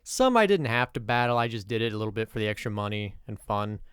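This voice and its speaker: A young man hosting a let's play with casual commentary, his voice a little off from allergies, who swears and rants in sudden excited bursts. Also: fairly low pitch, quick pace, smooth, no echo, clean studio sound. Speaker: gaming video host.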